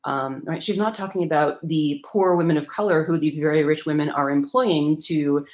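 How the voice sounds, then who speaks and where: A woman author explaining ideas in an interview, talking quickly with lots of hedging and restarts. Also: low-pitched, a little mellow. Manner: rapid, hedging, many restarts. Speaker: guest author.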